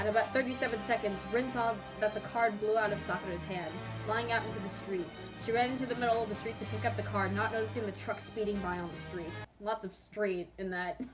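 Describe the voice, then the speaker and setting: Casting: young adult female host for amused dramatic readings, mid-pitched, self-mocking, over clear background music. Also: rough recording. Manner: self-mocking, breaks into asides and laughter. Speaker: host reading own old fanfiction.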